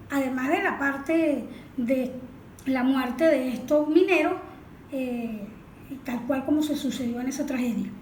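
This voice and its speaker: A woman with a Venezuelan accent giving a didactic technical explanation as a specialist, often posing rhetorical questions. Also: high voice. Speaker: watershed management specialist, guest analyst. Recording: echoey room, background noise.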